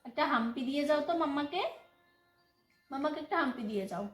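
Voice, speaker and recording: A woman's voice: high voice, animated, quick pace, a bit bright. A young woman, a mother, chatting playfully to her small child in coaxing, sing-song baby talk. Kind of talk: casual playful chat with a toddler. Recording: echoey room with music behind.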